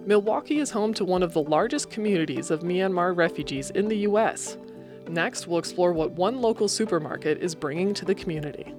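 A woman hosting a public radio program, reading scripted narration in a measured way. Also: fairly low pitch, expressive, music in the background. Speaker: radio host. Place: radio studio.

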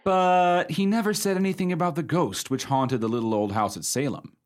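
An actor playing a folksy storyteller in a radio play, spinning a ghost yarn in a wry, unhurried way. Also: male voice, on the high side, steady tone, close to an everyday voice. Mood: wry, narrating.

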